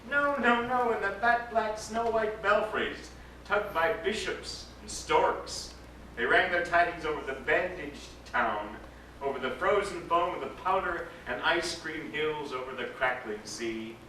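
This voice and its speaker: A man, an actor, giving an expressive, dramatic reading of a literary story aloud. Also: fairly high pitch, unhurried. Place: indoor live reading to a gathering. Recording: echoey room, distant mic, background noise.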